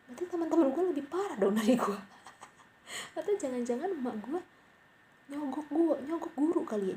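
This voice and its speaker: A woman's voice: a little high, warm tone. Rambling, self-mocking woman, noisy mic. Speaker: podcaster. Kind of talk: casual late-night monologue. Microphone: cheap home mic. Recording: noisy home mic recording.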